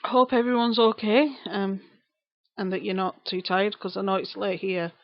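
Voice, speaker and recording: A woman's voice: medium pitch, moderate pace, no room sound, lo-fi sound. A woman in her thirties with a broad Lancashire accent, chatting casually on a live stream, greeting viewers and repeating herself as she rambles. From England. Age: 30s.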